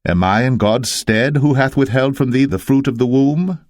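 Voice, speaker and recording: A man voicing an earnest, forceful shepherd in a dramatised scripture reading, with emphatic, declamatory archaic speech. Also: mid-range voice, moderate pace, dry recording, clean studio sound, pitched above the performer's own voice, lightly acted. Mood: indignant. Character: Jacob, earnest male shepherd, forceful when wronged.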